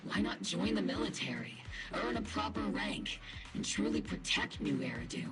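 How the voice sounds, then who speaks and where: A woman acts out a judgmental captain from a military video game. The delivery is stern and haughty with very lively pitch, set over clearly audible music. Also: normal speed, natural voice. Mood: scornful, accusing.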